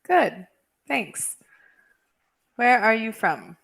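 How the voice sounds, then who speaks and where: A woman's voice: fairly high pitch, big pitch swings, drawn-out words, vocal fry, a bit bright, breathy edge. A woman from California with an American accent, an English tutor chatting casually and laughing often. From California, USA. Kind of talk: casual video-call chat. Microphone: video call.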